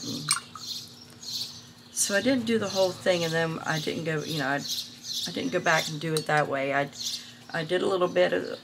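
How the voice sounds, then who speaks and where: A middle-aged woman, hoarse and a little raspy from illness, rambling casually through an art supply review with many fillers and restarts. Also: low-pitched, background noise. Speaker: art channel host.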